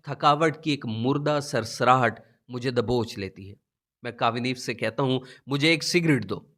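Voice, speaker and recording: A man narrating a memoir as an audiobook, in a measured, literary and expressive reading manner. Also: mid-range voice. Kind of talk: scripted dramatic narration of a political memoir.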